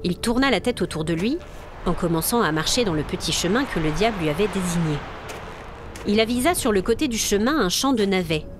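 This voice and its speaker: A woman's voice: mid-range voice, expressive, a little fast, noisy background. A woman storyteller reading scripted narration of a spooky folk tale.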